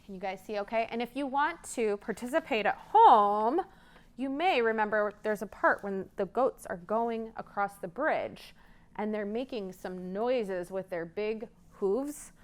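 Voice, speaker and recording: A woman's voice: medium pitch, expressive, measured pace. A woman hosting a children's storytime, telling stories interactively and coaching young listeners along with questions. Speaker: children's librarian, storytime host.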